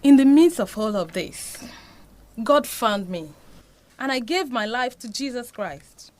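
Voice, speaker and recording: A woman's voice: fairly high pitch, animated, measured pace, a little mellow. A woman playing an anguished, devout Nigerian mother confessing a painful past in an audio drama. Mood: solemn, pained.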